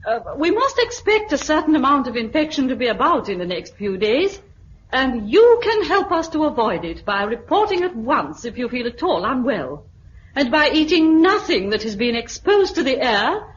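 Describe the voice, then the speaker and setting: An actress playing a modest, proper, earnest nurse in 1950s radio drama style. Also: female voice, sits high, sing-song, moderate pace. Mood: earnest, nervous. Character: modest, flustered but earnest woman senior nurse. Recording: dull, hissy 1950s radio recording.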